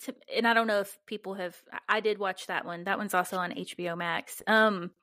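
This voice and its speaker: A woman's voice: medium pitch, steady tone, brisk, sharp tone, no echo, studio quality. A woman chatting casually as a podcast co-host, giggly and conversational. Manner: giggly, often laughing as she talks. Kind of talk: casual banter between co-hosts.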